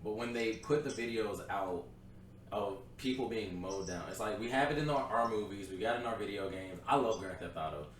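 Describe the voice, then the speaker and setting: A man in his twenties talks in a blunt, opinionated way, laughing easily between points. His voice is low-pitched. He sits well away from the mic in a very echoey room, with a faint hiss behind him. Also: brisk, far from the mic. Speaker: podcast co-host. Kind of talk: casual chat.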